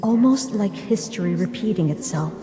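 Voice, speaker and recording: A woman voicing a caring female confidante, earnest and gently reproachful, in a dramatic comic dub. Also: medium pitch, lively intonation, moderate pace, lightly acted. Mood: earnest, sorrowful. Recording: echoey long reverb.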